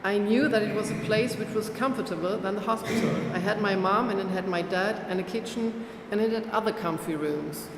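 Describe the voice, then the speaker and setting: A German woman in her forties reads aloud in a plain, measured voice. Her voice rings around a large echoing gallery room with a long tail of reverb. A steady noise sits underneath. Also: medium pitch. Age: 40s. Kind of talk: reading a script aloud.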